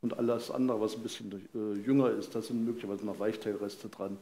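An older man, a forensic pathologist, explaining casework on a live stage in a matter-of-fact, halting way. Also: lowish voice, steady tone, gravelly, mellow. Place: vaulted venue, live audience on stage. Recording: roomy live stage sound, faint noise.

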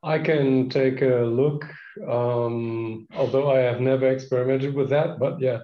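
A man's voice: medium pitch, fairly even intonation, measured pace. Hesitant non-native man on a video call. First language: not English. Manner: hesitant, many fillers and restarts. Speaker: project contributor. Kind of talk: casual meeting update. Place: indoor office, video call. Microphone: video call webcam mic. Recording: roomy, dull video call audio.

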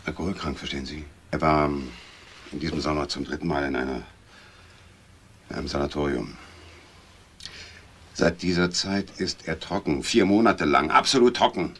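A man's voice: rumbling low, lively intonation, moderate pace, creaky, pauses often, fairly bright tone, a little hiss or hum, full character voice. A man playing an indignant, protective elder brother and company boss in a German crime radio drama. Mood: grave.

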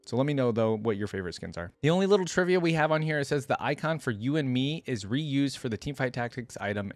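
A young man hosts a casual reaction video in a chatty, opinionated way. His pitch moves up and down freely in an animated delivery. The sound is dry and close, as from a desk microphone. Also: no room sound. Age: young adult. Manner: chatty, opinionated, thinks aloud. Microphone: close desktop microphone, headset on.

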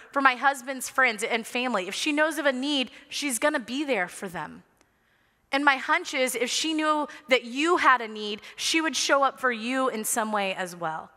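Bright-voiced woman preaching in a sanctuary. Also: on the high side. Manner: earnest, warm storytelling with light humor. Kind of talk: sermon. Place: large church sanctuary stage. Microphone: headset mic. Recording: long reverb of a large sanctuary.

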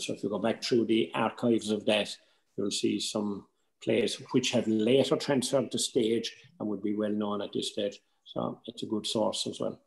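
A man's voice: medium pitch, gravelly, a little mellow. A man with an Irish accent giving informal advice as a workshop speaker over a video call. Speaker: workshop speaker on radio drama. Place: indoor room, video call. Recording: roomy, echoing video-call sound.

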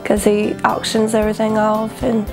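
A woman's voice: medium pitch, completely flat delivery, moderate pace. A woman talking about family work in a documentary interview. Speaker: family auction worker. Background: faint music.

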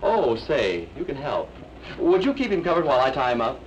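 A man's voice: mid-range voice, very animated, brisk, lo-fi sound, a little hiss or hum, natural voice. An actor playing a flustered, fussy older man who stammers nervously while talking his way out of trouble, in a 1950s television comedy. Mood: bumbling.

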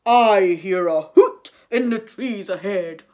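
Brief: woman doing a big blustering storybook monster, loud and gullible. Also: slow pace, close to an everyday voice. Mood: booming. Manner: booming and loud. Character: huge scary male monster, gullible.

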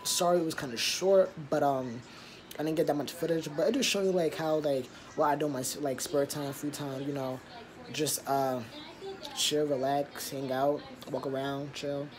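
A man's voice: a little high, steady tone, moderate pace, crowd noise. A young man chatting casually for his vlog in a laid-back, rambling way, filmed on a phone at arm's length. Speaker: vlogger. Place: bedroom, car and outdoors. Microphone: phone held at arm's length.

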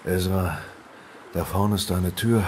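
An actor playing a wary, hushed male companion in a horror audio drama. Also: low-pitched, slow pace, a little hiss or hum.

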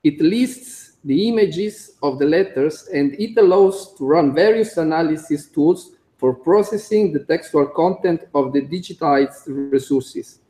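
A man with a strong Italian accent, a computer engineer giving a conference talk read from notes, careful and halting with frequent fillers. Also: fairly high pitch, warm tone, rough recording. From Italy. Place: conference room.

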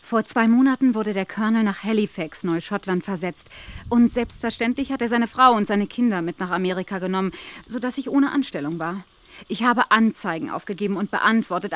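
An actress playing a polite, anxious young governess in a German audio drama, speaking in an earnest, deferential and neatly articulated way. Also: female voice, mid-range voice, natural voice.

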